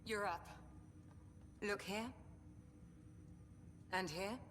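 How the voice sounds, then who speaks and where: A woman's voice: medium pitch, steady tone, extremely slow, a bit bright, in a large hall, a little hiss or hum. A woman playing a caring, authoritative ship's doctor in a video game cutscene. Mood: gentle, sympathetic.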